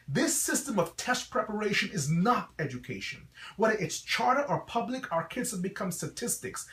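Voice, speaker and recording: A man's voice: high-pitched, fairly bright tone, recorded from a distance. A middle-aged man with a slight Guyanese accent gives an earnest, formal address with the emphatic delivery of a preacher. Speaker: school founder and reverend. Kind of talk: earnest promotional address to camera. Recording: echoey, reverberant room.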